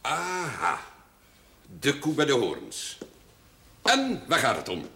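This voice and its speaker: Pompous old notary, swooping intonation. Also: male voice, mid-range voice, wildly swooping pitch, slow pace, gravelly, pushed and bright, exaggerated voice. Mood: dry, mocking. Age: older, over 50. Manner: pompous, formal, ironic turns of phrase. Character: pompous, sardonic, shrewd old village notary and moneylender. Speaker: actor in a television drama. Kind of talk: theatrical television drama dialogue.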